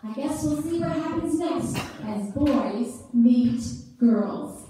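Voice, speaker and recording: A woman narrates a staged reading in a soft, mellow tone. Her pace is slow and her pitch sits fairly high. The sound is distant, from a roomy church. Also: lively intonation, slight background noise. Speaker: narrator in amateur reader's theater. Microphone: distant audience camera. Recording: echoey church hall from far off.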